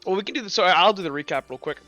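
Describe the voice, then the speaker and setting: A man working as game master, casually recapping a tabletop game in a halting way, stumbling over his words. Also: high voice, bright, forceful tone, no room sound, soft background music.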